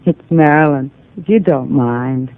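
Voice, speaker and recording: An actress playing a gentle, concerned wife in a 1950s radio drama. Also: female voice, sits low, a little slow. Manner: gentle and solicitous. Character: warm, concerned adult woman, wife of the inventor. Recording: lo-fi old radio broadcast.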